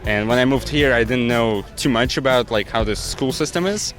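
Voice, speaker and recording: A man's voice: mid-range voice, normal speed, a bit bright, faint music behind, poor audio. A young man from Latvia chatting casually as he answers interview questions. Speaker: member of the public being interviewed. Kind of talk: casual chat.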